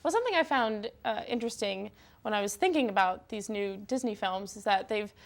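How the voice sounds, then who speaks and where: A young woman co-hosting a casual film and TV chat in an expressive, gushing way. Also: medium pitch, fairly quick. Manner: gushing, expressive, quotes herself. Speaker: co-host of a film and TV talk show.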